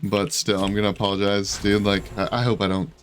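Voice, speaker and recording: A man with a fairly low voice talks casually through his gameplay. He sounds congested and rambles in slangy, self-deprecating gamer talk. There is noticeable noise and clicking in the recording. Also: flat delivery, brisk. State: congested, voice giving out. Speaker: gaming YouTuber.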